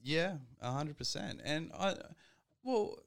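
A man's voice: fairly high pitch, lively intonation, slow pace, vocal fry. A young man hosting a casual podcast chat, rambling with many fillers and checking for agreement. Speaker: podcast host.

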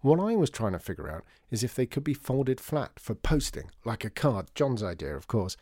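A chatty, wry father, as a storyteller voices him, rambles happily about his work. His tone is mellow and a little lifted, with a dry deadpan edge. The recording is close and dry. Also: medium pitch, moderate pace, no room sound. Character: cheerful fussy father, a toymaker, wry.

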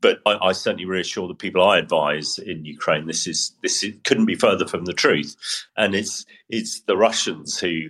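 Deep-voiced man, bright forceful tone. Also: moderate pace, husky. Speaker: military analyst and adviser. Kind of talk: spoken news commentary.

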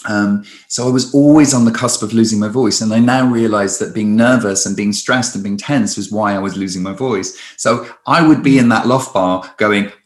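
A man, a stage performer, chatting in a conversational interview with lots of restarts and lively storytelling. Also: lowish voice, moderate pace, hardly any pauses, roomy sound.

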